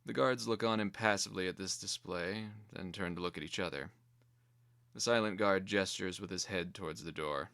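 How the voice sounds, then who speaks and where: Male narrator, low register, measured and theatrical, reading dark fantasy stage directions. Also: gravelly, smooth. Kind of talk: dramatic screenplay reading.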